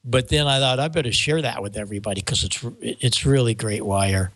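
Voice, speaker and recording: An older American man talks casually as a show host. His delivery rambles and halts, with stammered restarts as he explains equipment. The pitch is average for a man and the sound is dry, with little room echo. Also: brisk, a bit bright. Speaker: show host and microphone maker. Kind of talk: casual show talk, explaining audio gear.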